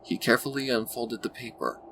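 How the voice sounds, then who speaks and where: A man reading a scripted dark adventure story as its narrator, in audiobook style. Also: mid-range voice, brisk, noisy background. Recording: music bed behind the voice. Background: loud background music.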